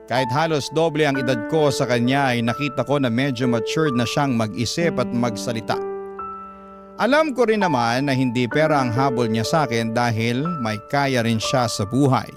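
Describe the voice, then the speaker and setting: A man's voice: mid-range voice, moderate pace. Filipino male radio storyteller over music. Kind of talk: first-person scripted narration of a love letter, classic radio style.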